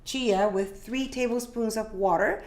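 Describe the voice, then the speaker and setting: A woman's voice: on the high side, unhurried, darkish tone. Older woman in an echoey room. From Peru. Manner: explanatory, checks in with "right?" and "you know". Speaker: podcast guest, organic food business owner. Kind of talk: conversational interview.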